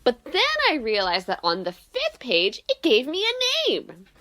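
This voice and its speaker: A woman playing an eager, excitable young female student assistant in an audio drama, gushing and keen to please. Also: a little high, unhurried, heavily acted. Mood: animated storytelling.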